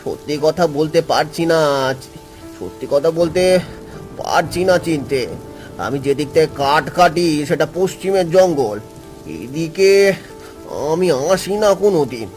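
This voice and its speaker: A man's voice: on the high side, unhurried, darkish tone, faint music behind, natural voice. A man playing a poor, determined woodcutter and father in a cartoon, speaking earnestly and emphatically. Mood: strained. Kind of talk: cartoon voice acting.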